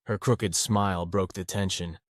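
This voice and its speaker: Casting: male fantasy audiobook narrator, fairly low voice, scripted storytelling, very dry sound. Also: steady tone, measured pace, dry recording.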